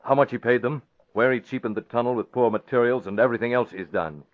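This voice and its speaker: A decisive masked crimefighter and newspaper boss acted by a man in a vintage radio drama. He talks fast and with authority in a middle-pitched voice, on a dull old recording. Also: lightly acted. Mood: stern, commanding. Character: bold, commanding newspaper publisher and masked vigilante. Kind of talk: 1940s radio drama acting.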